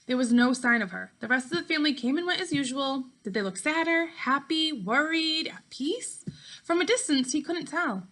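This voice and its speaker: Bright, higher-voiced woman reading aloud. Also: expressive, moderate pace. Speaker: teacher reading a book aloud to fifth graders. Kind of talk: read-aloud storytelling for children.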